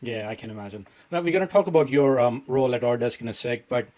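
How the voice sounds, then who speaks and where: This is a man hosting a podcast interview. He asks his questions in a friendly, chatty way. His voice sits at an ordinary middle pitch. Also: lively intonation, fast talker, darkish tone. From India. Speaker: podcast host.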